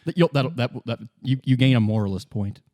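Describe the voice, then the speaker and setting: A man running a tabletop game as game master, improvising his narration in a casual, halting, good-humored way. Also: medium pitch, lively intonation, darkish tone, no room sound. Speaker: game master, podcast host.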